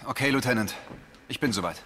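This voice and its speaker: A man's voice: medium pitch, a little fast, sharp tone, close to an everyday voice. A man playing an earnest, frightened but determined young policeman in an audio drama, speaking with tense urgency. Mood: shaken, earnest. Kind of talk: German audio drama acting of a video game.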